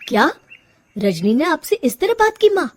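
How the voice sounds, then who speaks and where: An earnest young cartoon husband, played by a woman storyteller in a high, animated voice. He sounds questioning and insistent, pleading with his wife. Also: a little slow. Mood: upset, insistent. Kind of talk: animated moral story character voice.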